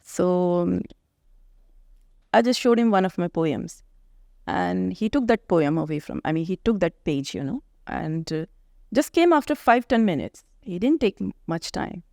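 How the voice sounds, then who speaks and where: A middle-aged woman from India with an Indian accent and Hindi as her first language, talking as a poet and author guest in a thoughtful interview, pausing often to find her words. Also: lowish voice, expressive, vocal fry, dark tone, no echo, studio quality. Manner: thoughtful, searching for words with frequent pauses. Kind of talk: reflective conversational interview.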